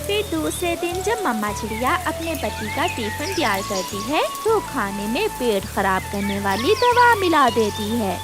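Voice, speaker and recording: Woman narrating a kids moral story, high pitched and swoopy, music playing behind. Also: very animated, measured pace, hardly any pauses.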